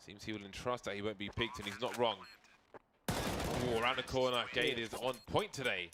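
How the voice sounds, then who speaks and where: A man's voice: medium pitch, fairly even intonation, normal speed, noisy background. A man calling esports play-by-play and trading jokey banter with his co-caster. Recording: loud game gunfire behind the commentary. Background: game sounds, gunfire.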